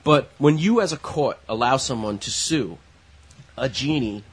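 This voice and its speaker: A man's voice: mid-range voice, unhurried, husky. A man hosting a casual radio talk show, reading a story aloud and joking about it. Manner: quips and reads news stories aloud with laughs.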